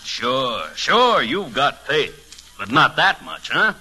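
An actor playing a cocky, impatient card player in an old radio drama, brusque and scoffing. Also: male voice, mid-range voice, big pitch swings, slow, over loud music. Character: cocky, impatient, moneyed male poker player.

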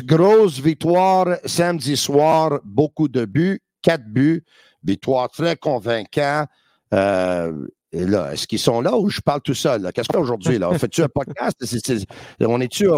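A man with a Quebec French accent hosting a sports podcast, lively and joking, with quick asides. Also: a little high, no echo, clean studio sound. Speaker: podcast host. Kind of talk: casual sports talk.

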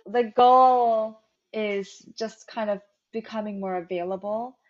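Woman, Korean first language, company founder, chatty, filler-heavy, mid pitch, slightly muffled recording. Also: fairly even intonation, takes it slowly. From United States. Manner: chatty, frequent "like" fillers, laughs easily. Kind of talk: casual interview answers.

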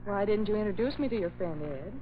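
A woman's voice: medium pitch, expressive, fast, lightly acted. An actress playing a sweet, polite young woman in an old-time radio drama. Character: sweet, pleading young woman, a reporter's girlfriend. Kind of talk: 1940s radio acting. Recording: muffled, noisy old radio recording.

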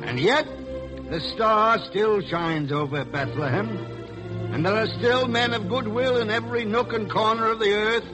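A man's voice: high voice, a little slow, rough recording. An American man narrating solemnly and formally in the oratorical style of a 1940s radio announcer. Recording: old 1940s radio, music behind. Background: music.

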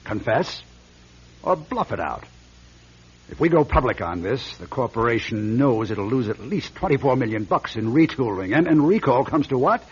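An actor playing a blunt, cynical older executive who talks down to people in a radio drama. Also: male voice, mid-range voice, sing-song, normal speed, husky. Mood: cynical, matter-of-fact. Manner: brash, patronizing. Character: blunt, cynical older former company president. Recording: dull lo-fi old radio recording.

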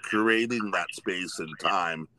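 Deep, crisp-edged male podcast host. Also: a little slow, pushed and bright, slight background noise. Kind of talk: casual conversational interview.

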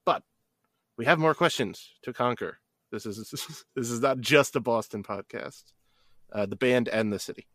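A podcast host from New Jersey asking playful rapid-fire questions in a casual chat. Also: medium pitch, fairly bright tone, no room sound, clean studio sound.

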